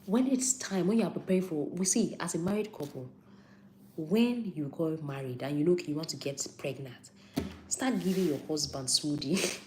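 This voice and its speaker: A woman's voice: sits low, moderate pace, darkish tone, a little hiss or hum, low-quality recording. A young Nigerian woman with a Nigerian accent giving a casual live-stream health talk, repeating points for emphasis and answering viewer comments.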